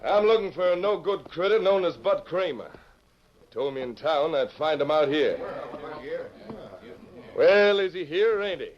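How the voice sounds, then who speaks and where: Man acting a high-pitched, blustering frontier gunslinger. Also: lively intonation, normal speed, pauses often, close to an everyday voice. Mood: mock furious. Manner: blustery folksy cowboy talk. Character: loud blustering frontier gunslinger feigning rage. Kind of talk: old-time radio western acting. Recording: muffled vintage radio recording.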